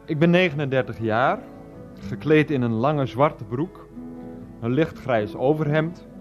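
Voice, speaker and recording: An actor playing a pedantic, wordy middle-aged teacher in a radio play. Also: male voice, medium pitch, dull audio, close to an everyday voice. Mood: formal, pedantic. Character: pedantic, exasperated thirty-nine-year-old unemployed teacher, henpecked son.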